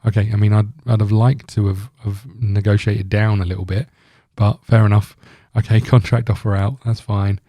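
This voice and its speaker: A man with a very dark, relaxed voice on the low side talks through a video game. He rambles casually, thinking aloud as he hosts. The sound is dry and close. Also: moderate pace, hardly any pauses, no echo. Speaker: gaming video host. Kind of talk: casual let's-play commentary.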